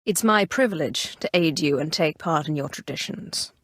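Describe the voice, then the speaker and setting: An actress playing a cool, ambitious Sith inquisitor in a video game, formal, haughty and commanding in measured, scripted lines. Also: female voice, low-pitched, fairly bright tone, no room sound, studio quality. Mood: cool, confident.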